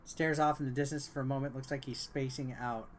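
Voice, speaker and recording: A man's voice: medium pitch, level tone, fairly quick, slight background noise. A man doing casual, bored-sounding gameplay commentary, reading dialogue aloud and adding sarcastic asides. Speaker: video game reviewer.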